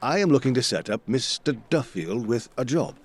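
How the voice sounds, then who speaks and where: A man playing a genial, slightly flustered gentleman, a detective's loyal companion, speaking politely and earnestly in an audio drama. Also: mid-range voice, expressive, moderate pace, husky. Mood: bumbling, polite.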